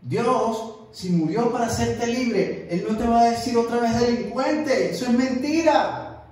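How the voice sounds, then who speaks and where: High-voiced preacher in an echoey room. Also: male voice, measured pace, darkish tone. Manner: emphatic, repeats words, mimics other preachers. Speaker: evangelist preacher. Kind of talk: sermon. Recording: echoey room, distant mic.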